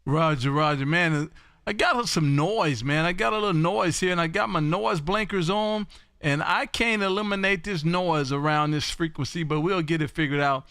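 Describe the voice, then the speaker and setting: High-pitched, bright American man on ham radio. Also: moderate pace, smooth, dry recording, studio quality. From Texas. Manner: loud, showy, repeats phrases. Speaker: amateur radio live streamer. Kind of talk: radio calls and live stream patter. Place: home radio room.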